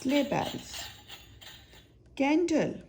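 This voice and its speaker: A woman reads a picture book for children at a very slow pace. Her voice is fairly high with a creaky edge. She names things singly and poses simple questions to the young listener. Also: big pitch swings, darkish tone, slight background noise. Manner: names items one by one, asks simple questions. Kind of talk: read-aloud search-and-find picture book for children.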